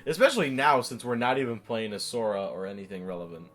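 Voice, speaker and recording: A man's voice: mid-range voice, animated, fast talker, fairly bright tone, soft background music. A young man co-hosting a gaming show, giving casual, opinionated and joking commentary.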